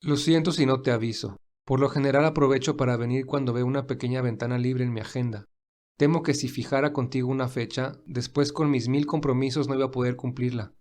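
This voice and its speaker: A man playing a kind, busy, wealthy gentleman who speaks warmly and reassuringly in an audio fan drama. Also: medium pitch, fairly even intonation, a little fast, natural voice. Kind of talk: acted audio fan fiction dialogue.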